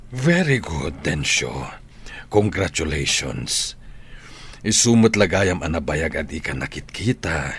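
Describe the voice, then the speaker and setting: A man acting an ailing, gentle retired schoolteacher in an Ilocano radio drama, with an Ilocano Filipino accent. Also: rumbling low, sing-song, takes it slowly, slightly rough, exaggerated voice. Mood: pleased, welcoming. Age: older.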